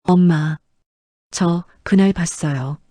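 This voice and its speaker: A woman's voice: on the low side, slow pace, mellow, no room sound, studio quality. Woman reading as a remorseful grown son, hesitant. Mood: remorseful, ashamed. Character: remorseful grown son confessing shame to his mother.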